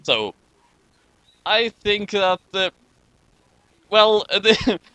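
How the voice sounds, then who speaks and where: A man with a high, bright voice reads a story aloud and comments as he goes. He is animated and mock-dramatic, with an exasperated amusement, and sounds close and dry. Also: very slow, dry recording. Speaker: reader and commentator of a fan fiction.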